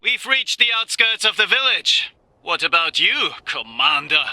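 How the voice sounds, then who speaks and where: High, piercing, cocky knight officer. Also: male voice, very animated, a little slow, bright, forceful tone, no room sound, heavily acted, low-quality recording. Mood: impatient. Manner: boastful, dismissive. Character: brash, arrogant knight officer, impatient man. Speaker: voice actor. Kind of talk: dramatic military game acting.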